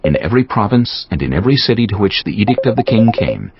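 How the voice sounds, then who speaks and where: Very deep-voiced male scripture narrator. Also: big pitch swings, fast talker. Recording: muffled audio with prominent music.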